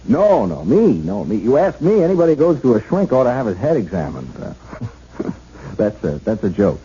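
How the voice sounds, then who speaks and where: A man's voice: lowish voice, very animated, moderate pace, mellow, breathy edge, full character voice. An actor playing an awkward, kindly, unschooled American policeman in a 1970s radio drama, stammering and folksy. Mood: kindly, flustered. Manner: stammering, hesitant, folksy, colloquial. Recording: muffled, hissy vintage radio broadcast.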